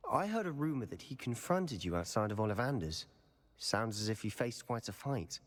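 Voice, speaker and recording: An actor playing an intense, brooding young wizard student, speaking emotively in a video game drama. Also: male voice, on the low side, sing-song, moderate pace. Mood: somber. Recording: reverberant hall, music behind.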